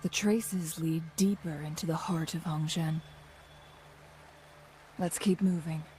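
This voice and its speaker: A woman voicing a composed, knowledgeable young guide in a fantasy video game, speaking in a measured, formal, thoughtful way. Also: low voice, steady tone, dark tone, a little hiss or hum. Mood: calm, resolute. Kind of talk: scripted video game voice acting.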